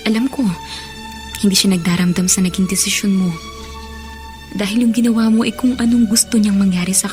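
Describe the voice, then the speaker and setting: An actress as a practical Filipino woman in a radio drama, comforting and gently coaxing. Her pitch is average, and music plays behind her. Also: close to an everyday voice.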